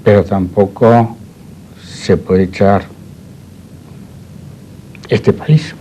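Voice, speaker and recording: An older man from Spain, discussing history as an interview guest in a deliberate, discursive, formal way. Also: fairly low pitch, fairly even intonation, warm tone, slight background noise, low-quality recording. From born in Madrid, Spain; settled in Argentina.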